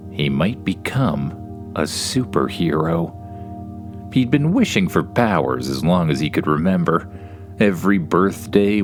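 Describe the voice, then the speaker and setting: A low-pitched Canadian man narrates a bedtime story for children over guitar music. His delivery is conversational and informal, with a wry, playful dryness.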